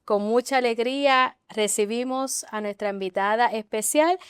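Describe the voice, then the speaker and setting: Very clear-voiced woman pastor hosting. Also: a little high, moderate pace, no echo, studio quality. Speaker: pastor and co-host. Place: TV studio.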